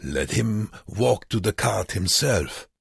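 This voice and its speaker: A bitter male prisoner in an audiobook, played by a man. His voice is rough and dry, raised a little above a low register. He goads and taunts with hard urgency. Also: animated, slow, dry recording, full character voice. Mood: urgent. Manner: taunting, urgent, sometimes whispered. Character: bitter, goading male concentration camp prisoner. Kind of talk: audiobook character voice.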